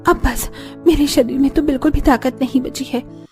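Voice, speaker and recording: A weary young cartoon farm wife with an extremely high, soft-toned voice, played by a woman. She laments plaintively about a hard village life, discouraged and worn down, over music. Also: fairly even intonation, moderate pace, natural voice. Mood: weary, complaining. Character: weary, discouraged young farming daughter-in-law in a poor village.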